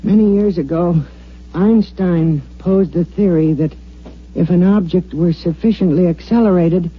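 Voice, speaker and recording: A husky, grandiose scientist, vain and full of grievance, declaims dramatically in a vintage radio play. The recording is muffled and old. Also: male voice, high voice. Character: vain, aggrieved male scientist inventor, craving recognition. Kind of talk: 1950s radio drama acting.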